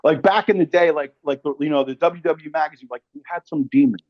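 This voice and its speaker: A man's voice: fairly high pitch, fast, no echo, muffled recording. A man hosting a casual podcast chat, telling stories in slangy, sweary talk with quoted asides. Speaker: podcast host.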